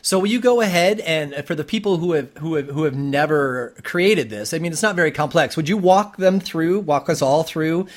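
A man's voice: a little high, normal speed, few pauses. A man hosting a conversational interview, talking in a wordy way with stammered restarts. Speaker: podcast host.

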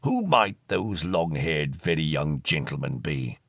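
A courtly old ghost of a gentleman, acted out by a male narrator, speaking low and close. His phrasing is formal, pompous and old-fashioned, with a mournful streak, as in a storybook reading. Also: big pitch swings, unhurried, dry recording, full character voice. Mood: puzzled, haughty.

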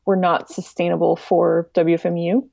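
A woman answering as an interview guest, explaining things casually but in a measured, careful, slightly hesitant way. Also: fairly low pitch, flat delivery, gravelly, dark tone, no room sound, dull audio. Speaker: podcast guest, music archive director.

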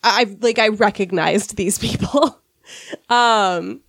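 A woman's voice: mid-range voice, takes it slowly, vocal fry, a bit bright, no room sound, studio quality. A woman from rural Washington hosting a casual podcast discussion, sniffly from a cold and chatty, with wry asides. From rural Washington, USA. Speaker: podcast host.

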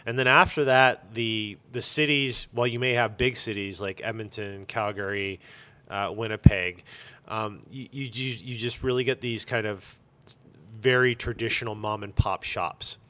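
A man's voice: fairly low pitch, normal speed, bright, forceful tone. A man talking casually and emphatically in an interview, giving blunt opinions as a shop owner.

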